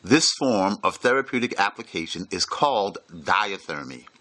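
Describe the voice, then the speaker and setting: A man reading a scripted instructional lecture with formal, measured enunciation. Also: low voice, lively intonation, bright, forceful tone, no room sound.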